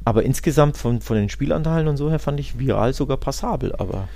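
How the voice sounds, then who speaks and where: A man's voice: medium pitch, lively intonation, quick pace, vocal fry, warm tone. A man hosting a football podcast, chatting casually and giving opinionated, colloquial commentary on the game. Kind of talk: casual football talk with live match commentary.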